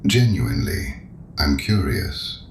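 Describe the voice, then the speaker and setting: A man in an audio drama playing a sinister, ancient, knowing penitent, courteous and sardonic, with a very deep gravelly voice. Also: big pitch swings, full character voice. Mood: sardonic curiosity. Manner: deliberate, probing, sardonic, urbane. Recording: echoey room with audible background noise.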